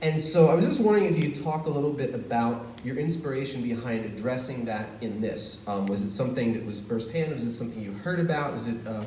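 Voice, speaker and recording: A man's voice: medium pitch, lively intonation, fast talker, hardly any pauses, darkish tone, a little hiss or hum. Hesitant man asking questions in a roomy hall. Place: university reading event hall. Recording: roomy, distant, faint ambience.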